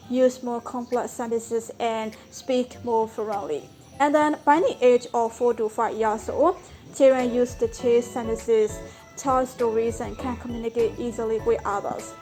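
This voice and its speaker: A young woman with a Burmese accent, a native Burmese speaker, explaining language learning in an earnest, scripted vlog lesson with frequent "so" and "like". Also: fairly high pitch.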